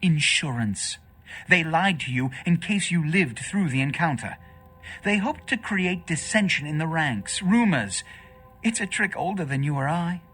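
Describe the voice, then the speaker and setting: An actor playing a haughty, short-tempered vampire prince in a video game, speaking in a formal, theatrical way. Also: male voice, on the high side, expressive, unhurried, fairly bright tone, slightly rough, full character voice. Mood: dismissive.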